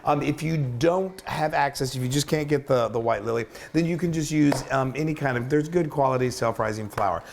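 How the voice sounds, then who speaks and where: Hoarse, raspy man hosting. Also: mid-range voice, brisk, few pauses, a little hiss or hum. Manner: chatty and upbeat, with stumbles. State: hoarse from a cold. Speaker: cooking show co-host. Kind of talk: casual cooking chat.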